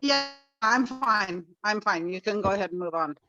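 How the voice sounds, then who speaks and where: A woman's voice: mid-range voice, lively intonation, moderate pace. A woman talking casually as a participant on a group video call. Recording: dull, dry call audio.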